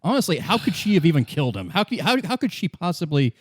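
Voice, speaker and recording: A man's voice: fairly high pitch, big pitch swings, fast talker, slightly rough, no room sound, studio quality, pitched above the performer's own voice. Man acting out a grudging, defensive bar-gang boss.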